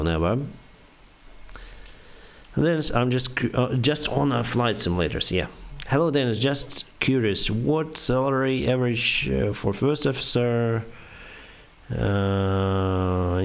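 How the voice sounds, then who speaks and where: A young man whose first language is Russian, speaking English haltingly with many fillers. He reads viewer questions aloud and answers them on a casual live stream. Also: mid-range voice, smooth. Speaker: airline pilot streamer answering chat.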